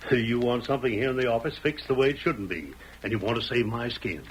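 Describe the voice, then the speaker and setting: An actor playing a friendly, trusting frontier government agent, chatty and inquisitive, in an old-time radio western. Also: male voice, medium pitch, brisk, close to an everyday voice. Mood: good-natured, curious. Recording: noisy vintage radio recording, off-mic.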